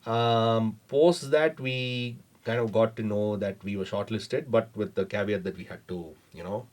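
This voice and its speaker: A man, a startup founder on a podcast, telling his story in a measured way with lots of fillers and hedges. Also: on the low side, fairly even intonation, a little mellow. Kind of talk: casual interview storytelling.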